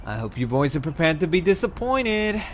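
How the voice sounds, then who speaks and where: A man performs a chatty young gangster cat for a comic dub. His delivery swings widely in pitch, sitting a little high. He is sarcastic and showy, with loud vehicle noise behind him. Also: big pitch swings, a little fast. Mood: sardonic. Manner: glib, theatrical, sarcastic. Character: cheeky, chatty young male bootlegger cat. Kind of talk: comic dub character acting.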